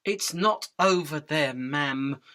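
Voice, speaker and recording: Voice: mid-range voice, slow pace, bright, forceful tone. An actor playing a resigned, pedantic young housefly in a comic radio drama, rambling with wry philosophy. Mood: defensive.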